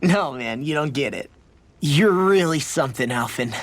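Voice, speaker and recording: A man's voice: a little high, expressive, moderate pace. An actor playing a brash but earnest teenage boy fighter who talks informally in a video game cutscene. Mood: earnest, admiring.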